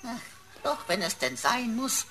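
A woman's voice: on the high side, sing-song, slow pace, crowd noise. A woman playing a pompous, aggrieved, sarcastic talking computer in a radio play, complaining in florid mock-courtly phrases.

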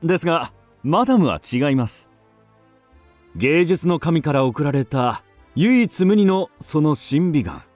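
An actor playing an obsequious antique shopkeeper in a radio drama, speaking in a florid, deferential, unctuous way. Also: male voice, fairly high pitch, lively intonation, unhurried, a little mellow, no echo, faint music behind. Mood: fawning. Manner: florid, deferential salesman's speech.

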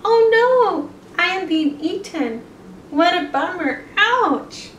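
A woman's voice: very high voice, animated, slow, a bit bright, muffled recording, pitched above the performer's own voice, heavily acted. A woman voicing an exasperated little male rabbit from a picture book, pleading and exclaiming as he bargains. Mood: mock dismay. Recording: echoey room, distant mic, some noise.

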